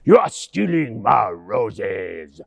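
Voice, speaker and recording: A man voicing a ferocious male beast in a bedtime story, with slow, menacing delivery. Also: lowish voice, sing-song, gravelly, dry recording, full character voice. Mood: angry.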